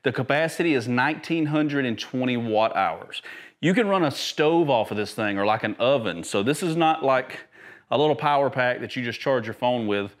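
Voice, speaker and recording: A man in his thirties with a twang walks through a product demo in a big garage workshop. His voice sits at an ordinary middle pitch. He chats loosely and eagerly, stumbling and restarting as he goes. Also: animated, normal speed. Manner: chatty, enthusiastic, filler-heavy, restarts often. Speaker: YouTube camping and gear host. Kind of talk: casual product demo to camera. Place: large garage workshop with tool chests, indoors.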